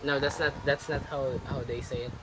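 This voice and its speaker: A man's voice: mid-range voice, little pitch movement, a little fast, crowd noise. A young man from the Philippines critiquing a family member's video in casual chat, emphatic and lecturing, repeating his points. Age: young adult. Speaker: vlogger's son, critic. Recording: noisy home recording.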